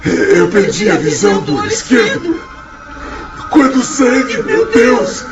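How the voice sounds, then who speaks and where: An actor playing the tormented, mangled spirit of a suicide in a radio drama, desperate, anguished, pleading and ranting. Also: male voice, sits high, huge pitch swings, slow pace, heavily acted. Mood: agonized despair. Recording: dull, echoey studio track under loud music.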